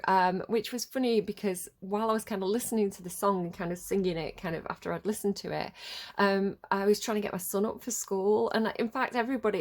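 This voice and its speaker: A middle-aged woman with a slightly congested voice from a cold, hosting a casual, rambling tarot reading in a hesitant, conversational way. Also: medium pitch. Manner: hesitant, conversational, loosely wandering. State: has a cold. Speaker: tarot reader and video host.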